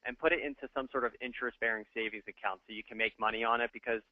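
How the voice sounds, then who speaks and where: A man's voice: medium pitch, fairly even intonation, rapid-fire, dry recording. Man explaining financing over a tinny line. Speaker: equipment financing representative. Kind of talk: webinar explanation.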